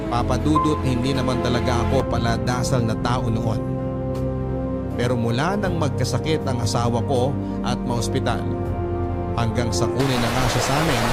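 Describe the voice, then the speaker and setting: A man playing a grieving widowed father, narrating a first-person story in a measured, sorrowful, earnest radio-drama style. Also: mid-range voice, close to an everyday voice. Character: grieving widowed father recounting his lost love. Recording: loud music bed under the voice.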